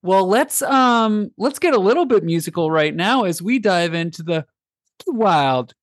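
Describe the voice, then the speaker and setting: A man's voice: high-pitched, expressive, measured pace, no echo, clean studio sound. A man hosting a casual sports podcast, playful and jokey as he introduces his co-hosts. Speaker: podcast host.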